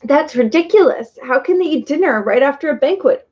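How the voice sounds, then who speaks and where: A polite, earnest young boy in a storybook, as a woman reader puts him on. He speaks sensibly in a high, lively voice. The recording sounds dull and lo-fi. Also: fairly quick.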